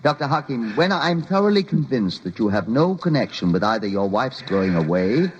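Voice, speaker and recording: An actor playing an unflappable, officious police officer in an old-time radio drama, formal, clipped and faintly condescending. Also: male voice, mid-range voice, sing-song, normal speed, natural voice. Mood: exasperated. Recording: muffled, faintly noisy old radio recording.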